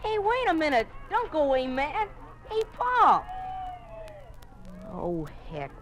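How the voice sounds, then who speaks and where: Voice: sits high, huge pitch swings, takes it slowly, a bit bright, exaggerated voice. An American performer playing an eager, naive schoolboy with earnest boyish manners in a 1950s radio drama. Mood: put out. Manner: boyish, gee-golly earnestness. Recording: hissy 1950s radio recording.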